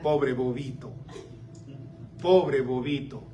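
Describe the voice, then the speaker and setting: An older man from Central America preaching a sermon, swinging between loud exclamations and quiet asides. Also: medium pitch, slow pace. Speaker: pastor. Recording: slightly distant mic with faint room noise.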